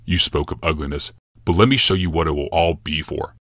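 A man's voice: very deep voice, a little fast, fairly bright tone, no room sound, studio quality, exaggerated voice. A man voicing a towering, zealous emperor who speaks in solemn pronouncements. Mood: eager. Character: grave, towering male emperor obsessed with struggle.

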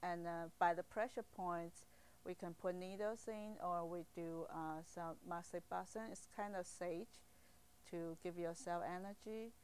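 Lower-pitched Taiwanese-accented woman, hesitant. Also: steady tone, measured pace, a little mellow, a little hiss or hum. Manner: hesitant, simply phrased non-native English. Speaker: acupuncturist being interviewed. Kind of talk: informal explanatory interview.

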